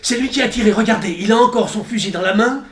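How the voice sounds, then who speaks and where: Bossy blunt sheriff, forceful declaiming, echoey old french radio drama. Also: male voice, sits high, quick pace. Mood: shocked. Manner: emphatic, declamatory. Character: blunt, authoritative country sheriff.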